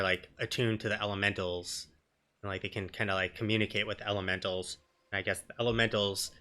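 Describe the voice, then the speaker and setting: A man's voice: fairly low pitch, bright, forceful tone. A man giving casual, informal commentary as he streams a video game. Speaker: let's play streamer.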